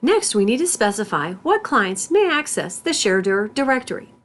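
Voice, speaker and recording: A woman instructor reading scripted tutorial narration in a deliberate, step-by-step manner. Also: fairly high pitch, sing-song, fairly bright tone. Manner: deliberate, spells out terms symbol by symbol.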